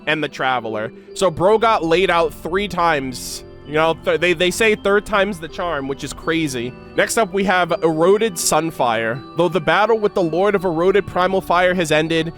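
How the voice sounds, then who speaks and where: A man's voice: fairly high pitch, lively intonation, a little slow, few pauses, a bit bright, faint music behind. A man doing casual reaction commentary and reading game lore aloud, as an enthusiastic fan who uses slang. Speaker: gaming reaction video creator.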